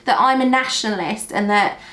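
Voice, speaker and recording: A woman's voice: medium pitch, sharp tone. A young woman, born in Denmark, hosting a casual chat with her mother and cutting in with opinions. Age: young adult. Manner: chatty, opinionated, quick to interject. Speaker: channel host. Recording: echoey room.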